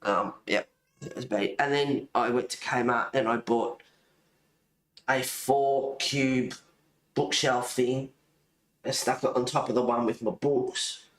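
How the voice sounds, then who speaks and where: A man's voice: mid-range voice, fairly even intonation, unhurried, pauses often. A man with an Australian accent chatting casually as a livestream host, rambling and easily sidetracked. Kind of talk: casual chat. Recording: roomy echo, faint noise.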